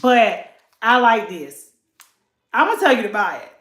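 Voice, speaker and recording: A woman in her late thirties with a fairly high voice talks viewers through a budget beauty haul. She chats casually and calls them y'all. The sound is roomy and echoey. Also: unhurried. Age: late 30s. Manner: chatty, animated, addresses viewers as y'all. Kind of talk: casual haul chat to camera.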